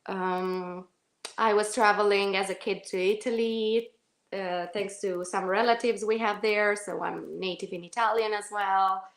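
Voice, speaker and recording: Actress, mid-pitched, reflective interview guest who backtracks often; roomy recording. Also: female voice, fairly even intonation, a little slow. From Romania. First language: Romanian. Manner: thoughtful, self-correcting, many fillers and restarts. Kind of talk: casual interview answers. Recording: echoey room.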